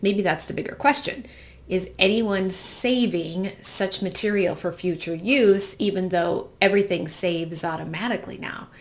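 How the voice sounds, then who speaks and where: A woman's voice: lowish voice, normal speed. An American woman in her forties from the Midwest, hosting with a personal, reflective monologue. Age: 40s. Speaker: host.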